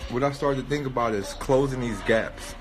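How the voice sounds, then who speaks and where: A man's voice: mid-range voice, normal speed, noisy background. A man in his thirties with a cold, sniffling a little as he thinks aloud in a casual livestream monologue, loose and rambling with many fillers and restarts. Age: 30s. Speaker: rapper streaming live.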